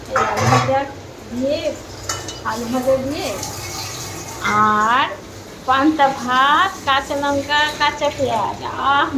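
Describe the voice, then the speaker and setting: Female home vlogger, casual and chatty, repeats herself and quizzes viewers; roomy echo, noisy recording. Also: high-pitched. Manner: repeats phrases, addresses viewers with questions. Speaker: home cooking and eating vlogger. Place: indoors at home. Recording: echoey room with clear background noise.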